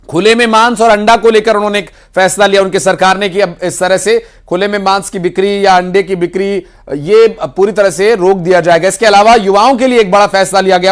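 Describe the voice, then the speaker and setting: Male news anchor, pretty high voice, explaining stuff in long wordy recap. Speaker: news presenter.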